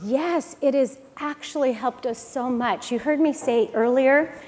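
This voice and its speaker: A woman's voice: high voice, measured pace, darkish tone. A woman presenting her workplace's childcare program at a conference and answering a question, in an earnest, upbeat way with some restarts. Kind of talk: prepared conference talk, then answering a question. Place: large conference hall. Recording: reverberant conference hall.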